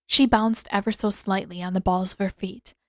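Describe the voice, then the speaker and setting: A woman's voice: mid-range voice, steady tone, moderate pace, a little mellow, no echo, studio quality. A woman narrator reading scripted fiction. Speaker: narrator and author.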